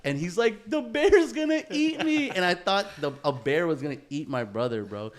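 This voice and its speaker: A man's voice: high voice, normal speed. Casual, slangy young-sounding man storytelling. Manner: animated, slangy, swears freely. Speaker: podcast host.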